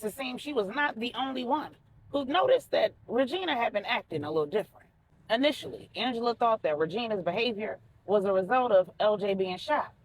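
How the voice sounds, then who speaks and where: A woman narrating a scripted story in a deliberate, dramatic storytelling manner. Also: a little high, poor audio.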